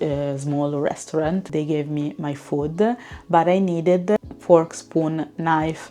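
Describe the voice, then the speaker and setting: A young woman, chatting casually to camera in a vlog, relaxed and full of fillers. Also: deep voice. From Italy. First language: Italian. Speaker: vlogger.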